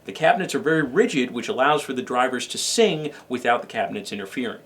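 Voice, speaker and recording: A man co-hosting a product review, delivering scripted information in a measured, somewhat formal way. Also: medium pitch, animated, a bit bright. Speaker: show co-host and reviewer.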